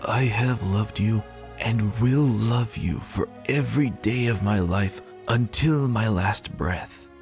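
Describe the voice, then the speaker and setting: A man voicing a lovestruck young male pony, speaking earnestly with heartfelt, dramatic pauses in a fan fiction reading. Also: lowish voice, music in the background. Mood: adoring, earnest.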